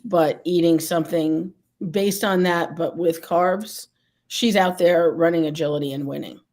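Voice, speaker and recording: A host in a casual interview, speaking in an earnest, measured way. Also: mid-range voice, steady tone, a little mellow.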